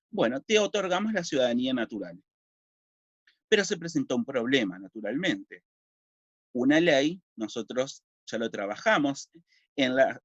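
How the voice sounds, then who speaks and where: A man's voice: medium pitch, no room sound. A Uruguayan man teaching a recorded civics lesson, explaining step by step and asking and answering his own questions. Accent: Uruguayan Spanish. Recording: dull lo-fi dry recording.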